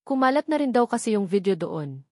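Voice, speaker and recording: A woman with a Filipino accent voicing a concerned, calm male executive with a subdued, tentative manner. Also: mid-range voice, brisk, no echo, studio quality. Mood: hesitant, concerned.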